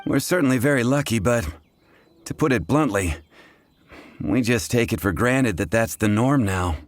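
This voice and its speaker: A man's voice: medium pitch, expressive, moderate pace, close to an everyday voice. An actor playing an adult male tavern bartender in a game, teasing and blunt, over game music. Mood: wry. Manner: teasing, wry, matter-of-fact. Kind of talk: game voice acting. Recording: game audio with music behind.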